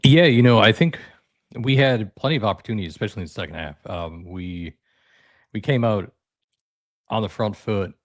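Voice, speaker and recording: A man with a deep radio voice, a podcast guest chatting easily about his soccer club. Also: no room sound, clean studio sound. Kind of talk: casual podcast chat.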